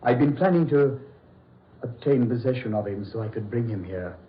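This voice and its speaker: An actor playing an obsessed, desperate mad doctor in a theatrical, raving 1940s radio horror style. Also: male voice, medium pitch, moderate pace, lightly acted. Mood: disbelieving. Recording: muffled old radio recording, echoey.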